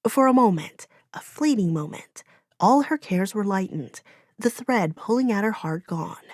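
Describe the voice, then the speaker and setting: A woman's voice: medium pitch, expressive, unhurried, darkish tone, no echo, clean studio sound. A woman reading a fantasy audiobook as narrator, in dramatic, solemn storytelling.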